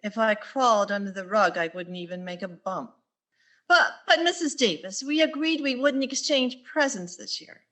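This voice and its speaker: A woman's voice: medium pitch, normal speed, a bit bright, natural voice. A woman playing a wry, put-upon schoolteacher in a radio sitcom, with a dry, wisecracking delivery. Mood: exasperated. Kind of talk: radio sitcom acting and narration.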